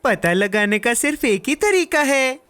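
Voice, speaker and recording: A woman voicing an excitable, curious young boy in a children's cartoon dub, with a dramatic, exclamatory manner. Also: sits high, a little slow, no echo. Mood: delighted, curious. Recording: music behind the voice.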